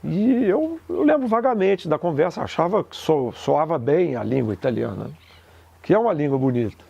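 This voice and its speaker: A man's voice: on the high side, very animated, normal speed, creaky, dark tone, husky, highs cut off. A man interviewed about his childhood memories, speaking hesitantly and reflectively. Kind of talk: casual recollection.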